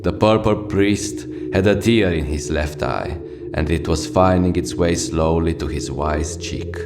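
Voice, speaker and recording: Low male voice, deadpan 1960s radio host acted by a man, sardonic poetic narration, music underneath. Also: unhurried. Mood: solemn, lyrical. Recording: music behind the voice.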